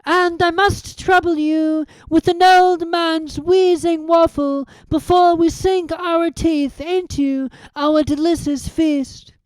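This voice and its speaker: A reader voicing an old, kindly, eccentric male headmaster who speaks in a slow, whimsical, wheezy way. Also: very high-pitched, fairly even intonation, darkish tone, dry recording, pitched above the performer's own voice, rough recording.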